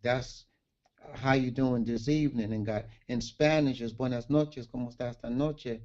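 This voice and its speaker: Mellow-voiced New man, lo-fi audio. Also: medium pitch, moderate pace. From Brooklyn, New York. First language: Garifuna. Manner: relaxed and plainspoken, with street slang. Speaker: guest author. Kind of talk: casual interview on a livestream.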